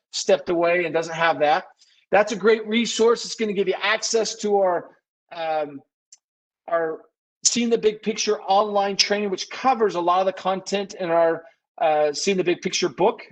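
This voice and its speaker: Higher-pitched man hosting a webinar. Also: rough recording. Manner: conversational, thinking aloud, self-correcting. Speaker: webinar presenter, business consultant. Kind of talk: informal live webinar Q&A.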